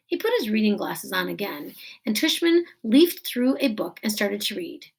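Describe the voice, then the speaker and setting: A woman reading a children's novel aloud in a deliberate, expressive storytelling style with weighted pauses. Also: medium pitch, gravelly, breathy edge, poor audio. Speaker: teacher reading a book aloud.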